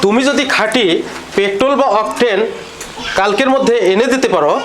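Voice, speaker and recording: A man's voice: high-pitched, fairly quick, a bit bright, slight background noise, low-quality recording. A man playing an imperious, pompous village patriarch bargaining over a marriage in a comic drama. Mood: haughty, demanding.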